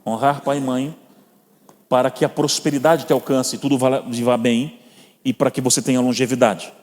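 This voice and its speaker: A man with a Brazilian Portuguese accent preaching to a congregation, mixing scripture with personal stories. He speaks at a middle pitch and addresses the listeners directly as brothers. His voice rings with the long reverb of a church hall. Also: fairly even intonation, normal speed, a little mellow. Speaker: guest preacher.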